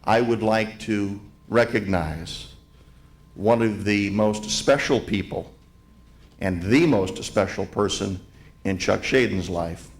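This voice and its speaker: A man delivering a ceremonial tribute to a live audience, speaking in deliberate, emphatic phrases with repetition. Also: fairly low pitch. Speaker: event speaker, museum president giving opening remarks. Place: hotel grand ballroom event.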